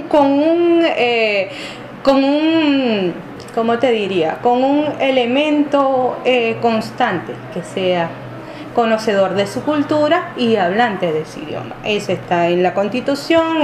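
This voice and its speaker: Hesitant woman in an echoey room. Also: on the high side. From Venezuela. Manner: hesitant, many fillers and restarts. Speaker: interviewee. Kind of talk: reflective interview answers. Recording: echoey room with clear background noise.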